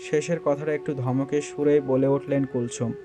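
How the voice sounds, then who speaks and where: A man's voice: mid-range voice, flat delivery, moderate pace, over music. A man reading scripted narration for a romantic audio story.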